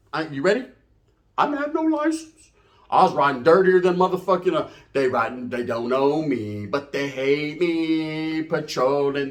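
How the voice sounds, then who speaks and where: A man's voice: fairly high pitch, a bit bright. A middle-aged man tells a comedic story informally, profane and boastful, wandering off and checking in with tag questions.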